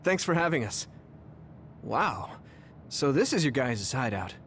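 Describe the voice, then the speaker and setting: An actor voicing an earnest, expressive young military recruit in an English-dubbed anime game. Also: male voice, mid-range voice, measured pace, a little hiss or hum. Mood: friendly, concerned.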